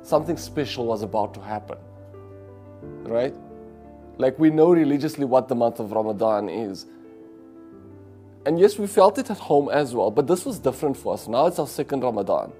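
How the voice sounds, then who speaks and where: Reflective South African man, dark voice. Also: mid-range voice, animated, moderate pace, pauses often, faint music behind. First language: Afrikaans. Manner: reflective, warm storytelling with frequent fillers. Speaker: diplomat. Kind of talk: interview. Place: living room, indoors. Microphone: lapel.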